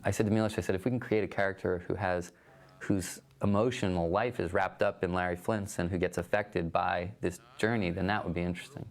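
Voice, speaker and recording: A man in a retrospective interview, telling in a relaxed, conversational way how he came to take a film role. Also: low-pitched, a little fast. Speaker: actor recalling a film role.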